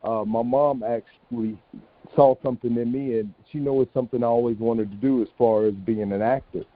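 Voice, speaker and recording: A man's voice: medium pitch, fairly even intonation, a little fast, no echo. A middle-aged man, a stage actor, giving a candid, reflective interview answer as a guest. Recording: tinny phone-like online call.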